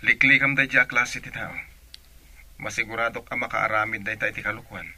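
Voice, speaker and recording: Young sailor sweetheart, really sharp forceful voice, reassuring, radio drama. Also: male voice, mid-range voice, flat delivery, a little fast. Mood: indignant.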